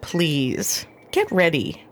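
A woman playing a composed, proper preacher's wife in a radio drama, practical and gently firm. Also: low voice, wildly swooping pitch, very slow, full character voice. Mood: brisk, reproving. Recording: faint background noise.

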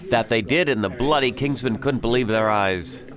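An actor playing a bold pirate captain in an audio drama, speaking in a formal, declamatory way. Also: male voice, mid-range voice, normal speed, fairly bright tone, lightly acted. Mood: jovial. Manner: well-spoken, declamatory.

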